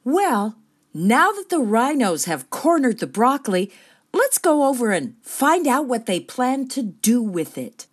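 A woman's voice: a little high, very animated, unhurried. A woman playing a perky, unflappable roving reporter in a satirical on-the-scene radio report. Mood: perky, wry. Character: perky, unflappable female radio reporter among talking rhinos.

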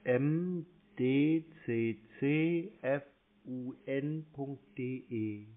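A man chatting casually on the telephone as a customer, laconic and deadpan. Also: medium pitch, extremely slow. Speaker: prank caller posing as customer. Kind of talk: casual phone chat. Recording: muffled narrowband phone line.

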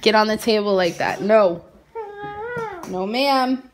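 A woman's voice: medium pitch, slow pace, a crowd in the background. A woman in her thirties chatting casually to camera as a mom vlogger, rambling with lots of "um"s and "like"s, filmed on a phone held at arm's length in her tiled living room. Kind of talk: casual vlog chat to camera. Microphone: phone selfie camera at arm's length.

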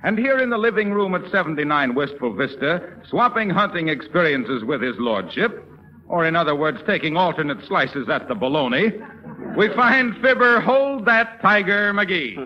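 A man's voice: high-pitched, lively intonation, moderate pace. A man with an American accent announcing in scripted 1930s radio style. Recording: muffled 1930s radio broadcast.

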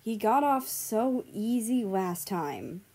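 A woman's voice: a little high, animated, slow pace, dark tone, husky, heavily acted. A woman voicing gossipy, judgemental internet commenters in a story, in an exclamatory manner. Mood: disgusted. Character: snide, gossipy online commenters.

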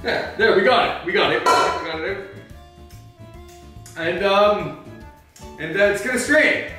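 A man's voice: high voice, measured pace, pushed and bright. A man playing Batman as a flustered host of a comedic cooking show, rambling through the steps and snapping into angry outbursts, in a home kitchen. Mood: explaining. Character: Batman, gruff brooding male superhero cooking. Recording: very echoey room, mic far off, faint music.

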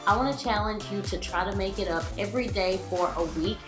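A woman's voice: medium pitch, brisk, reverberant, music in the background. A young woman vlogger giving casual, upbeat home cleaning tips, speaking to her viewers. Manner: chatty, upbeat, addresses viewers as you guys. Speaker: lifestyle vlogger. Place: large home, bedroom.

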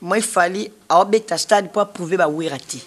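A man's voice: sits high, moderate pace. A young man with a Congolese accent, a musician guest in a TV studio interview, talking animatedly and gesturing with his hands. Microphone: standing studio mic.

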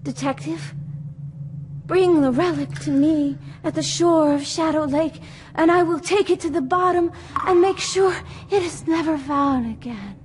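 A woman's voice: sits high, a little slow. A woman playing an intense, theatrical middle-aged spirit medium, solemn and dramatic, in a video game cutscene. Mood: relieved, fervent. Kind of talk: live-action video game cutscene acting. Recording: clearly noisy backdrop.

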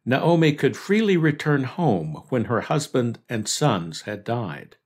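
Male devotional host, mid-pitched, measured earnest read, scripted scripture reflection.

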